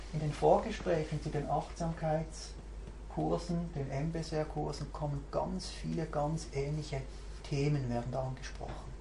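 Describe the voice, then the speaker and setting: A woman's voice: sits low, dark tone. A woman, a mindfulness teacher giving a reflective, searching talk to a group and thinking aloud as she goes. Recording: roomy recording, faint background hiss.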